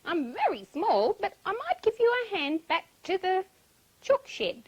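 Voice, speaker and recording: A woman plays a fussing, kind-hearted little duck from a children's story. He is high-pitched and very animated, with quacks scattered between short, exclaiming phrases. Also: a little slow, full character voice. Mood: helpful. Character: friendly, helpful small male duck, fussing and kindly.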